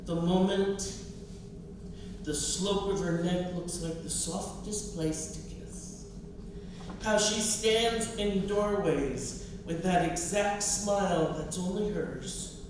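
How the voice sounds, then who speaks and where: Expressive woman poet, slow and very echoey. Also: on the low side. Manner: expressive, emphatic, with repetition for effect. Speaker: poet and professor. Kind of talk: live poetry reading and audience talk. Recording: distant, very echoey, noisy room.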